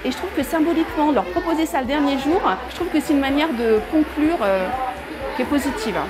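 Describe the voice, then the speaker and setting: A woman's voice: sits high, brisk, poor audio. A woman explaining and announcing things in an informal spoken style. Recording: loud music and crowd noise. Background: loud music, crowd.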